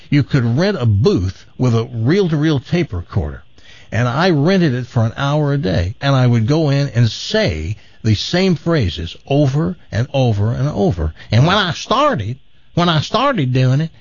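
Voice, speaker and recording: Husky, animated male radio host. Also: mid-range voice, no echo. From American South. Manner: animated storyteller. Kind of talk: casual radio chat. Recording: dull lo-fi broadcast audio.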